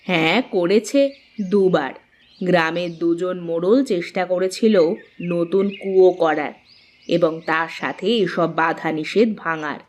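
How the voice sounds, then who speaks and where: A woman voices a grave village mother-in-law in a cartoon tale, cautioning and solemn. She speaks slowly in a lowish voice, measured like a storyteller. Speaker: narrator and voice actor. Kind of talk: scripted cartoon story narration.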